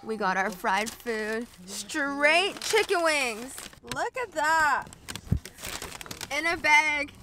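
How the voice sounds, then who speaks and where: A woman's voice: high voice, lively intonation, drawn-out words, loud music behind the voice. A young woman vlogging casually on a trip, giddy and chatty, filling her talk with "like" and "literally". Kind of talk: casual travel vlog.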